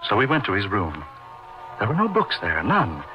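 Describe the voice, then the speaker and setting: An actor playing an earnest, awestruck young doctor telling a dark tale in a theatrical, emphatic old-time radio style. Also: male voice, fairly low pitch, huge pitch swings, normal speed, over loud music. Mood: awed, ominous. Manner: theatrical, florid, emphatic delivery. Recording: muffled radio drama recording.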